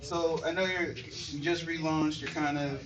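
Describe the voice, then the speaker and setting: A man's voice: fairly high pitch, steady tone, unhurried, fairly bright tone, highs cut off. A man in an audience asks a casual, loosely phrased question. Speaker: audience member asking questions. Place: event room with audience. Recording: distant mic, faint room noise.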